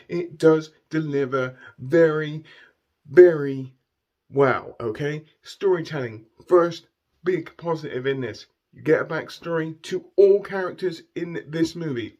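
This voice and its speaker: Croaky, enthusiastic man reviewing a film. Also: fairly high pitch, darkish tone. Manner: enthusiastic, repeats words, keeps adding "okay?". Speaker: movie reviewer. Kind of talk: casual spoken movie review. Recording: dull lo-fi recording.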